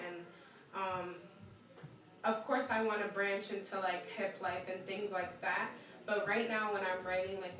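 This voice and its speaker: A young woman, a musician with Ghanaian parents, chatting in a live-stream interview. She is lively, laughs often and acts out the people she quotes. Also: mid-range voice, a little hiss or hum. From United States, Ghanaian parents. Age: young adult. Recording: echoey room, far from the mic, audience around.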